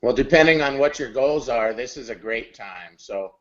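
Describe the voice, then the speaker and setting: A man's voice: medium pitch, normal speed. A man chatting casually on a video call, explaining coins steadily and keeping the group in order. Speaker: hangout regular, coin seller. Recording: dull lo-fi online call audio.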